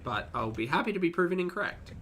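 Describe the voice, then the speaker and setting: Bright, wry streamer chatting casually. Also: mid-range voice, animated, fast talker. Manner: wry, chuckling, often repeats phrases. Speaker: streamer reacting to videos and chatting with viewers. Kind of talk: casual live commentary.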